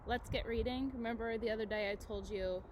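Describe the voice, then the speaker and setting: A woman with a fairly high voice reads a children's picture book like a teacher. She is expressive and often breaks off to chat, explain and put questions to her young listeners. There is faint background noise on the recording. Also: brisk. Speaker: teacher reading aloud to children. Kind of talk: children's picture-book read-aloud with chatty asides.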